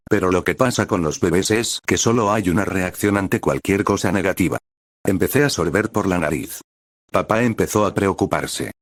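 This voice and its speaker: A man narrates a fan fiction story in a low, deep voice. His reading is even and measured, like a storybook told aloud. The sound is dry and close, with no room around it. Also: no room sound.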